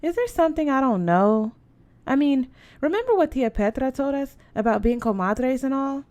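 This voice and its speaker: Audiobook character, woman acting a young girl, curious, eager, playful, expressive. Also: sits high, normal speed, pitched above the performer's own voice. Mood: apologetic. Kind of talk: acted audiobook dialogue.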